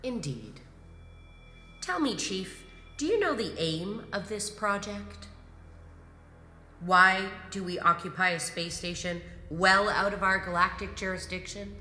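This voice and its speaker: An actress playing a composed, commanding imperial grand marshal in a sci-fi audio drama, measured and probing. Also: female voice, mid-range voice, lively intonation, bright, forceful tone. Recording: echoey hall reverb, music underneath.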